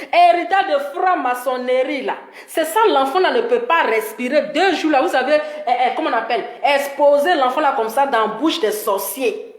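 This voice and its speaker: A woman with a high voice and an Ivorian accent speaks as the host of a live stream. She gives opinionated commentary in an emphatic, scolding way, hammering points by repeating them. The sound is roomy and echoing. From Ivory Coast. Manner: animated scolding, emphatic repetition.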